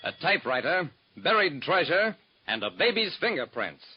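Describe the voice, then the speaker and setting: A man's voice: on the high side, big pitch swings, normal speed, breathy edge. An actor playing a private detective who narrates his own cases in wry, hard-boiled first person, in 1940s radio drama style, on a dull lo-fi old recording.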